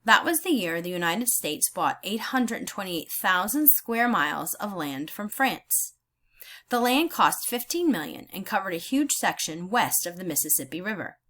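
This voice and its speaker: A woman teacher reading aloud in a deliberate, instructional way, pausing to explain her thinking as she goes. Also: mid-range voice, sing-song, sharp tone. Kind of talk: read-aloud lesson with think-aloud comments.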